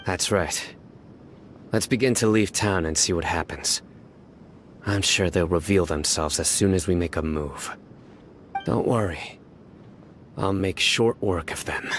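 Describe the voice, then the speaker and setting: A man voicing a stern, calm young male judge who speaks in a measured, grave and terse way in a video game. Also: low-pitched, slight background noise. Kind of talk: game voice acting. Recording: game audio with music behind.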